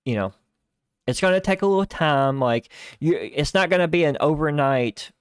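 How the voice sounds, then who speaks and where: A man hosting a live stream in casual chat, rambling with lots of fillers and restarts, on a starter microphone that lacks bass. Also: animated, moderate pace, clear, resonant tone, no room sound, clean studio sound. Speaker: livestream host. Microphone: starter desk microphone lacking bass.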